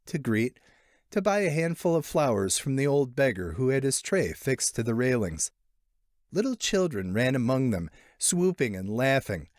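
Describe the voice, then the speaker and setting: Male narrator, short story audiobook, mid-pitched voice, animated intonation, expressive phrasing, lingering pauses. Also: dry recording, studio quality.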